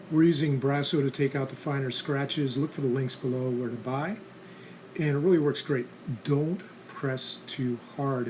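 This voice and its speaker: Casual man narrating over clear background noise. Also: mid-range voice, darkish tone. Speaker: host of a restoration how-to channel.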